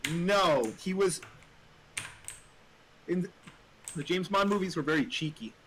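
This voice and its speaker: A man in casual, opinionated conversation, rambling in an animated way with frequent filler words and some swearing. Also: sits high, a little slow. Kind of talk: casual chat, opinionated film talk. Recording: noisy room with keyboard clatter.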